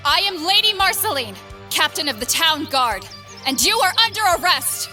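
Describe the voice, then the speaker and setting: A woman's voice: extremely high pitch, very animated, moderate pace, bright, forceful tone, faint music behind, exaggerated voice. A woman playing a noble, commanding captain of the town guard in a fantasy audio drama, formal and authoritative.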